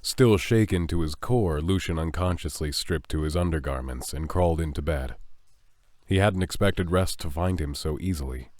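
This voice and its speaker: Low-voiced man narrating a dramatic story. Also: normal speed, a little mellow, no echo.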